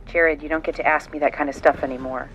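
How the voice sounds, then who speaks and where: A woman's voice: low voice, steady tone, fast talker, fairly bright tone, lo-fi sound, slight background noise. A woman playing a curt, weary estranged wife in an audio drama, exasperated in manner. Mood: irritated.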